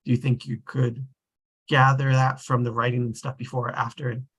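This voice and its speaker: A man with a mid-pitched, somewhat husky voice hosts a casual group discussion. He speaks loosely and rambles, letting thoughts trail off. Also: flat delivery, brisk, a bit bright. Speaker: discussion host.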